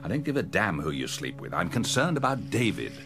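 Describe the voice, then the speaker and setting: An actor playing a middle-aged hospital doctor, hesitant yet blunt, in a horror radio drama. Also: male voice, low voice, sing-song, a little fast. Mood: grave, blunt. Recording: music behind the voice.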